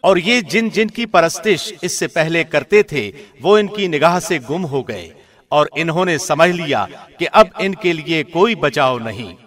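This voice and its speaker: A man reading a scripture translation in a formal, measured radio presenter's style. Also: a little high, lively intonation, a bit bright.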